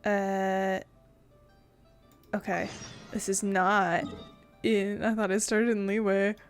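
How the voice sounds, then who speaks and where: A woman's voice: medium pitch, flat delivery, slow pace, faint music behind. A woman hosting a gaming video with casual commentary, informal, playful and sweary.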